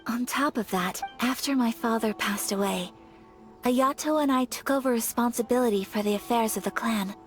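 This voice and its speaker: Woman acting a refined, high-voiced young noblewoman. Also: faint music behind, natural voice. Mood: reflective, wistful. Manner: polite, formal, soft-spoken, measured. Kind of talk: English game voice acting.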